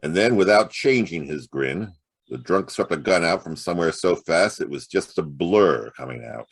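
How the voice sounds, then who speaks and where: An actor playing a wry, hard-boiled private detective in a noir audio drama, narrating and talking in terse slang. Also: male voice, low-pitched, lively intonation, moderate pace, vocal fry, husky, exaggerated voice. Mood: brooding, wry.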